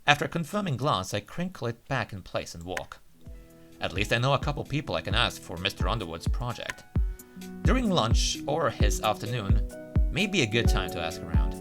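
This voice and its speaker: Low-pitched, animated man reading over music. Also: brisk. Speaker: video game player reading a visual novel aloud. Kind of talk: narrated read-aloud let's play with asides.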